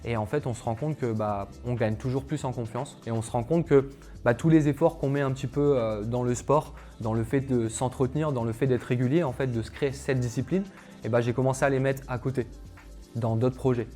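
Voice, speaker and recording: A young man talks straight to his viewers in a loose, chatty monologue. He fills it with hesitations and asides. His voice sits at an ordinary middle pitch, and quiet music plays underneath. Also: fairly even intonation, fairly quick. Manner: chatty, filler-heavy, addresses viewers directly. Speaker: calisthenics coach. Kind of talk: casual personal story monologue to camera.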